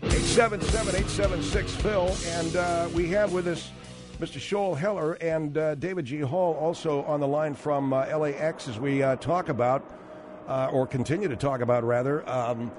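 A male radio talk host with a slightly raised pitch runs a call-in show, questioning guests in a brisk, pointed way. Faint music sits underneath him. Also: fairly even intonation.

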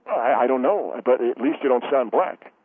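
Brief: bumbling middle-aged salesman played by a man, halting and rambling, mid-pitched. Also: expressive, husky, dry recording, narrow, tinny audio. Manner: halting, full of ums and uhs.

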